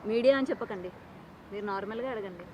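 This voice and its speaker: A woman's voice: mid-range voice, fast, warm tone, a little hiss or hum, rough recording. A woman working as a television interviewer, pressing her guest with persistent, probing questions.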